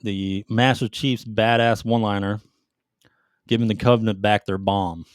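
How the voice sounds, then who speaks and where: A man's voice: fairly low pitch, steady tone, normal speed, clear, resonant tone, dry recording, studio quality. A man co-hosting a gaming podcast, talking informally with banter and some swearing. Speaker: podcast co-host. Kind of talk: casual gaming podcast chat, countdown.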